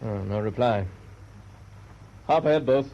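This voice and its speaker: An actor playing a decisive naval commander on a ship's bridge in a radio drama, barking terse orders. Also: male voice, mid-range voice, a little slow, mellow, natural voice. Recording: muffled, noisy radio play with ship sounds.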